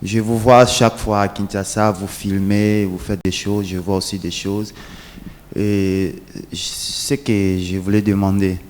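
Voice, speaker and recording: A man's voice: lowish voice, darkish tone. A man, an independent artist, politely putting a question to a panel. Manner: polite and formal, thanks before asking. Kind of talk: audience question at a conference. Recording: echoey hall.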